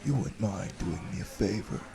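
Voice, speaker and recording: A man playing a sly, silky stranger with a creaky, measured, insinuating delivery in an audio drama, a crowd murmuring behind him. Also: lowish voice, animated. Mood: sly, coaxing. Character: sly, silky male stranger fishing for favors. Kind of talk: audio roleplay drama acting.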